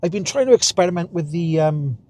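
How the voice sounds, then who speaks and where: A man's voice: on the high side, expressive, a little fast, darkish tone, dry recording. A Welsh man in his fifties, chatting casually and rambling while he drives, recorded in his car. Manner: chatty, rambling, chuckles at himself. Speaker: vlogger. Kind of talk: casual driving vlog. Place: inside a car while driving.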